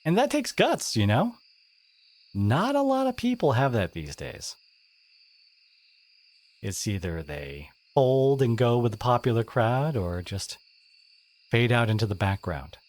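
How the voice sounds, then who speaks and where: A man playing a teenage school bully who is secretly wounded and caring, speaking in a halting, confiding, sardonic way in an intimate audio roleplay. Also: medium pitch, very animated. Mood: earnest, reassuring.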